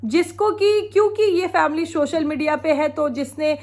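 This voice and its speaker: Chatty high-voiced woman vlogger with a cold. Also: moderate pace, darkish tone. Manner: chatty and opinionated, laughs often. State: sore throat from a cold. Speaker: vlogger reacting to other creators. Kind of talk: casual reaction vlog commentary.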